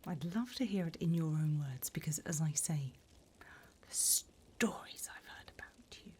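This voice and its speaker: A woman's voice: low voice, animated, measured pace, darkish tone, a little hiss or hum. A woman voicing a sly, teasing demon job interviewer from hell in a whispered, mock-formal ASMR roleplay. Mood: sly, curious. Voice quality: breathy whisper.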